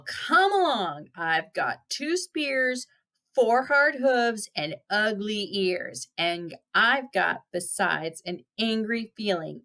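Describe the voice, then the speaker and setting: Big storybook billy goat, played by a woman: tough, hoarse, defiant, slow and deliberate. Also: mid-range voice, fairly bright tone. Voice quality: hoarse, rough.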